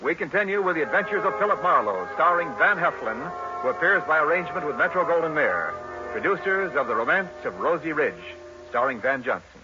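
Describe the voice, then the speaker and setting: A man's voice: on the high side, expressive, a little fast, music in the background. A man announcing and selling in a 1940s radio style, emphatic and polished. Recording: muffled vintage radio broadcast.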